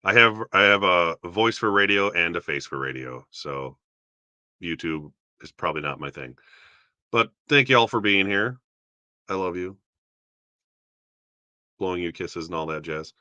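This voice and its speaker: A young man with a deep voice casually rambling about awards results, self-deprecating and chuckling now and then. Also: measured pace, pauses often, pushed and bright, no echo. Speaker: YouTube film awards commentator. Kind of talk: casual solo commentary.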